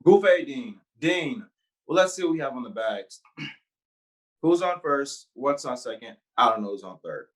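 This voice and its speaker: A performer playing an emphatic straight man from a 1940s radio comedy. He is a matter-of-fact ball club coach who answers briskly and insists on every point. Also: mid-range voice, animated, lightly acted, rough recording.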